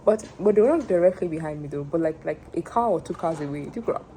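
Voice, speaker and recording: A woman with a Nigerian accent rambling casually in a personal vlog, excitable and playful, repeating words for emphasis, over a noisy recording. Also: sits low, fast. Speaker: solo animator and showrunner.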